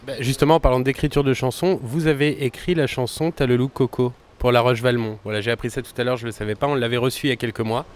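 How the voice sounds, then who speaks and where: A young man interviewing a guest, asking friendly questions quickly and often correcting himself mid-sentence. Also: medium pitch, fairly even intonation. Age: young adult. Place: outdoors at a summer concert event.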